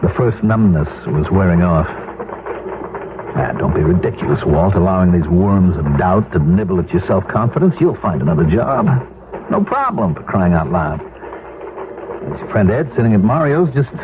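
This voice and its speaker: A man's voice: deep voice, animated, normal speed. An actor playing a middle-aged office man who has lost his job, wry, weary and self-doubting, in a 1970s radio drama. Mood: uneasy reflection. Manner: wry, resigned, hesitant. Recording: tinny, noisy old radio broadcast recording.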